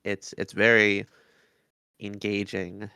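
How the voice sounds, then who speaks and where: A man co-hosts a casual review podcast. His voice sits a little low and often crackles into fry. The recording is close and dry. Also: monotone, takes it slowly, sharp tone, no room sound. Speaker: podcast co-host.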